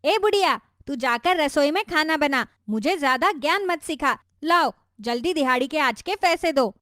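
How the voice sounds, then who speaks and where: A woman's voice: high voice, animated, normal speed, a bit bright, dry recording, clean studio sound. A woman voicing a greedy, rude young daughter-in-law in a cartoon story, scolding and making insolent demands. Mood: demanding. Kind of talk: Hindi cartoon story voice acting.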